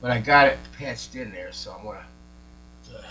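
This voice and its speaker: A man's voice: medium pitch, moderate pace, sharp tone, low-quality recording. A man giving a casual hands-on commentary as he repairs things, muttering and thinking aloud to himself. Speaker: radio repair hobbyist. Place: repair workshop. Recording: clear mains hum and noise.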